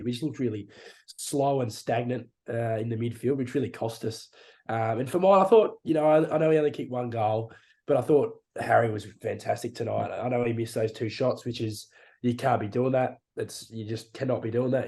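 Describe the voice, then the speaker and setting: Young Australian male co-host, warm dark tone, blunt and casual. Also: mid-range voice. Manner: frustrated, blunt, swears, uses "mate" and "reckon". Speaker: podcast co-host. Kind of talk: casual sports chat.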